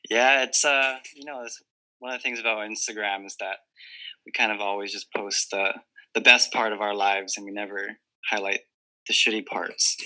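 A man's voice: on the low side, steady tone, normal speed, pushed and bright, rough recording. A man in his twenties from Hawaii, a podcast guest on a video call, talking earnestly and reflectively with many fillers. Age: 20s. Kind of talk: casual interview chat.

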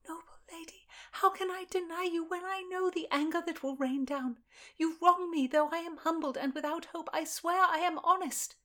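A woman's voice: very high voice, moderate pace, sharp tone, voice pushed up. Woman voicing a terrified pleading young maid. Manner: breathless, pleading, half-whispered.

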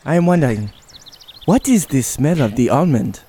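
A man's voice: medium pitch, expressive, moderate pace, dark tone, slightly rough. A man doing a stiff, formal accented stranger. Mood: haughty. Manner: formal stilted phrasing. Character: male accented man in improv scene, stiff and proud.

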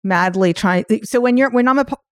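Chatty woman podcast host, slightly high voice, bouncing from aside to aside, dry close mic. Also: brisk, clear, resonant tone, dry recording. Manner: chatty, jumps between asides and questions. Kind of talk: casual interview chat. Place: New York.

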